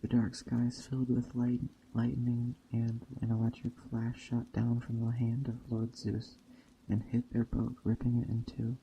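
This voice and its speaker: A man reading a myth aloud as a storyteller, measured, with the odd stumble over the text. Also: medium pitch, completely flat delivery, creaky, lo-fi sound, background noise.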